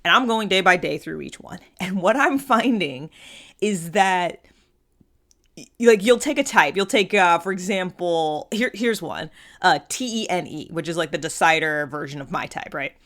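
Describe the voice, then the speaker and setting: Female host, slightly low voice, conversational and unscripted, explains ideas informally and slips into imagined quotes. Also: lively intonation, moderate pace, a bit bright. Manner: conversational, checks in with "right?". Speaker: host of a personality typology show. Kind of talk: casual unscripted explainer monologue.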